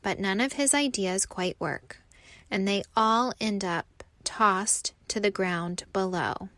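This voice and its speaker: Slow, expressive woman reading a children's story. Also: mid-range voice, no echo. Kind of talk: scripted read-aloud narration for children.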